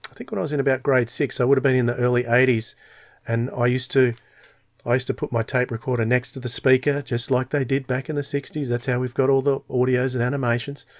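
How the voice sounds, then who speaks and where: A man's voice: medium pitch, flat delivery, fast talker, dry recording. Australian man, close dry sound. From Tasmania, Australia. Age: 40s. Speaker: podcast co-host. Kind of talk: casual podcast chat.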